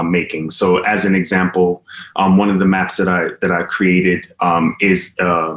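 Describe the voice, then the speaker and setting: A man with a very deep voice talks about his art on a panel. He pauses often and restarts his thoughts. The room around him is echoey. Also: a bit bright. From United States. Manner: hesitant, many ums and restarts. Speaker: interdisciplinary artist, panelist. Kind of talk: panel conversation.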